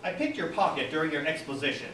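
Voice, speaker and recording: A man voicing a calm, clever male hero in a deadpan, matter-of-fact way. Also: on the high side, fast talker, slight background noise, voice pushed up. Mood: smug. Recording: roomy, distant mic.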